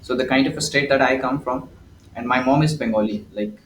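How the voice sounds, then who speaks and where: A man's voice: medium pitch, fairly quick, fairly bright tone, a little hiss or hum. A man from India with an Indian accent, talking casually and reflectively, with many restarts. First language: Hindi. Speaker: host. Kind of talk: casual conversation. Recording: echoey room, faint ambience.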